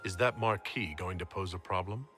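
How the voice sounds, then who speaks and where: Guarded leader, lowish pitch, forceful tone, measured, dry, direct, game cutscene. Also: male voice, faint music behind. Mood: firm. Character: composed, guarded, direct leader of a holy order. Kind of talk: video game cutscene acting.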